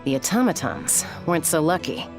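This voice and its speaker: Low-voiced, confident young warrior. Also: female voice, expressive, fairly quick. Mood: cool, confident. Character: capable young woman warrior, confident, loyal protector. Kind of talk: video game voice acting. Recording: music behind the voice.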